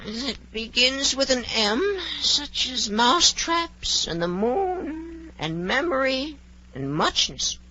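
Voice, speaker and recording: Tiny high-voiced sleepy mouse, singsong n touchy, swoopy, old muffled radio show. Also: female voice, big pitch swings, takes it slowly, bright, forceful tone, a little hiss or hum, heavily acted. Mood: dreamy storytelling. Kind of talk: 1940s radio comedy acting. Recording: muffled 1940s radio recording.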